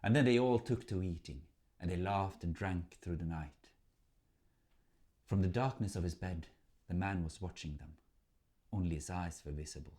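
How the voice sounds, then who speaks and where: Male storyteller, lowish pitch, theatrical, measured, dramatic folk tale narration. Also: dark tone.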